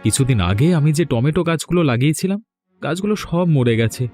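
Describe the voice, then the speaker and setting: A man's voice: on the high side, animated, quick pace, a little mellow, soft background music, close to an everyday voice. An actor playing a weary, troubled village man in a fairy-tale cartoon, speaking in an earnest, lamenting way. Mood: worried.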